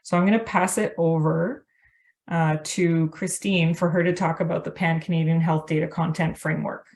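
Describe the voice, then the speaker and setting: A woman's voice: low-pitched, fairly even intonation, normal speed, a little mellow. A woman presenting slides at a workshop in a hesitant way, with frequent ums and uhs.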